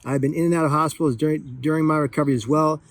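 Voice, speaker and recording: A man with a fairly high-set voice talks in a casual vlog style. His delivery is exuberant and playful, with emphatic phrasing throughout. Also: fairly even intonation, racing, no echo. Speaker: vlogger. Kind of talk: excited casual vlog chat while opening a parcel.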